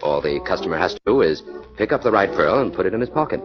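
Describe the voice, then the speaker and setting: An actor playing a shrewd, self-assured detective in a confident, matter-of-fact way, in a 1940s radio drama. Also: male voice, sits low, very animated, quick pace. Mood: explaining. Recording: dull old radio broadcast with music.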